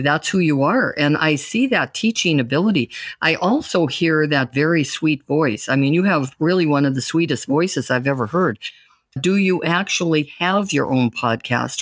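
Enthusiastic man with a higher voice. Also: dry recording, clean studio sound. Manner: enthusiastic and encouraging, talks at length. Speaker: podcast host. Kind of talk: casual interview conversation.

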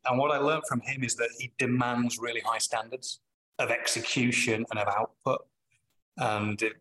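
Middle-aged Manchester man, a guest reflecting on leadership: bright, forceful tone, thoughtful pauses and self-corrections. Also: medium pitch, poor audio. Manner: thoughtful, with frequent pauses and restarts. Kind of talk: reflective interview answers.

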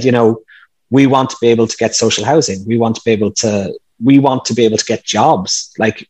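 A man's voice: fairly low pitch, breathy edge, low-quality recording. A man talking earnestly in a casual interview, explaining at length with some restarts. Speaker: podcast host, interview guest.

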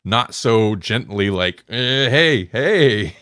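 A man's voice: on the low side, animated, slow, fairly bright tone, no echo, studio quality. A man hosting a podcast, chatting casually as he reviews stories. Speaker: podcast host.

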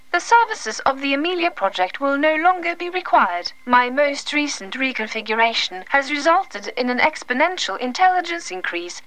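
A woman playing a condescending, calmly menacing artificial intelligence delivering a formal, deadpan letter as an audio drama monologue. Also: high-pitched, rough recording. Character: superintelligent AI, female, condescending, calmly menacing, detached.

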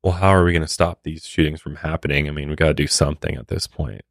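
A man's voice: a bass voice, steady tone, quick pace, creaky, no echo, studio quality. An American man co-hosting a podcast, talking casually and earnestly in colloquial language. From United States. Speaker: podcast co-host.

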